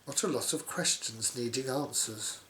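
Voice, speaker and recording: A man's voice: mid-range voice, moderate pace, fairly bright tone, a little hiss or hum, close to an everyday voice. A man voicing an endlessly wondering little female character from a children's storybook.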